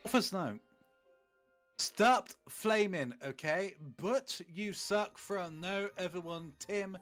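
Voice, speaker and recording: An informal reader takes things slowly but with a lively, varied pitch. Faint music plays behind the casual reading. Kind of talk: casual reading and interjecting on a group stream.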